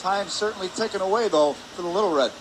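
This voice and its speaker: A man giving measured, explanatory color commentary during a live hockey broadcast. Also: high voice. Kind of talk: live sports analysis. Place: indoor ice hockey arena. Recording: noisy arena ambience behind.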